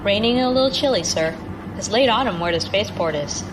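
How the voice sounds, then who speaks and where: A woman's voice: medium pitch, animated, quick pace, noisy background, lightly acted. A woman playing a polite, attentive spaceliner stewardess in a sci-fi audio drama. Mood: polite, helpful.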